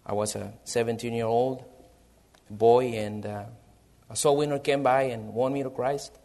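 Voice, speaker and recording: A man from El Salvador with a Salvadoran Spanish accent, a missionary pastor speaking in an earnest, measured way in a church service. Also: medium pitch, steady tone, a little mellow. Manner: earnest, measured, reads from prepared notes. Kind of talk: missionary church presentation and sermon.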